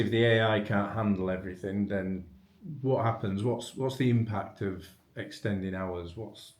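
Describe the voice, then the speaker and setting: Male webinar host, very deep register, conversational business interviewer, informal and probing, recorded in a reverberant room. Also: a little mellow. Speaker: webinar host asking questions. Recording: echoey room.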